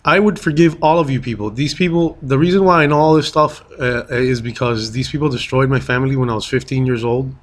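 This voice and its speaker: A man's voice: mid-range voice, a little fast, few pauses. A man from Miami and Colombia makes a rambling, impassioned appeal to commuters, full of fillers, restarts and repeated phrases. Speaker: street speaker addressing commuters. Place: public transit, bus or station.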